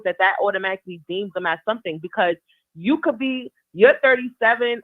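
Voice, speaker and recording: A woman's voice: mid-range voice, dry recording. A young woman in her twenties, a podcast co-host, bluntly explaining her view at length in a heated casual debate. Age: 20s. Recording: tinny phone-like sound.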